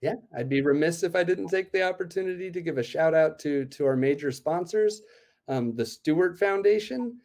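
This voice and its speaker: A man's voice: fairly high pitch, moderate pace, darkish tone. A man in his thirties, an event organizer, explaining plans in an upbeat way with many "uh" fillers, talking from a room at home over a laptop webcam. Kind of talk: video call interview. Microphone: laptop webcam on video call.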